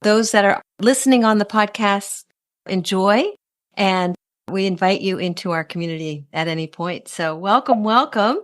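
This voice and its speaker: A woman's voice: normal speed, no room sound, studio quality. A middle-aged woman hosting a podcast, reading a guest introduction and then chatting with enthusiasm. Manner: enthusiastic, with sudden high lifts in pitch. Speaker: podcast host.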